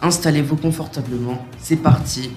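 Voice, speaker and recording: A man co-hosts a radio show, reading his welcome and interview questions from a script. His voice is echoey, with music playing clearly behind him. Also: a little high, steady tone, normal speed. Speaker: radio co-host.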